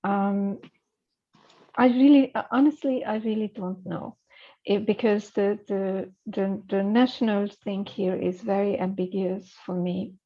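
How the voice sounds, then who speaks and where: A woman's voice: mid-range voice, fairly even intonation, a little slow, lo-fi sound. A woman speaking as a scholar in an interview, hesitant and thoughtful, full of fillers and restarts. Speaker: scholar guest. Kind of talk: conversational interview.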